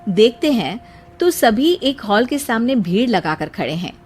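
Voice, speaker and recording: A woman reading scripted narration as the storyteller of an animated moral tale. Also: a little high, unhurried, slightly rough.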